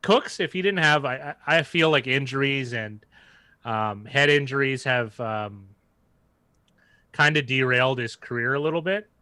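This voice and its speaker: A man's voice: mid-range voice, normal speed, pushed and bright, no room sound. A man co-hosting a casual sports watch-along, rambling loosely and conversationally as he talks. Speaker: sports livestream co-host.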